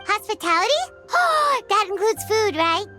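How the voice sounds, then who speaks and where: A woman voicing a tiny, excitable, food-loving fairy girl sidekick in an animated video game, with a squeaky, childlike voice. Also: measured pace, exaggerated voice. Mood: eager, delighted. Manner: refers to self in third person, excitable. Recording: loud background music. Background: loud game music.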